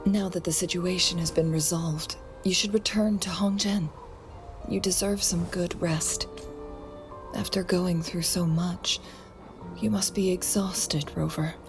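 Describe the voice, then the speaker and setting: Game role: poised, gracious noblewoman, lower register, soft measured delivery, sly teasing edge, music underneath. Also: steady tone. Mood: warm, teasing. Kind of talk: video game voice acting.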